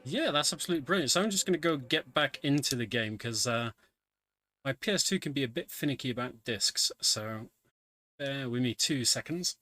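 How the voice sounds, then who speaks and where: Bright-voiced man commentating a game run. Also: mid-range voice, animated, moderate pace. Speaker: speedrunner commentating his run. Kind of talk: casual live game commentary. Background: video game music.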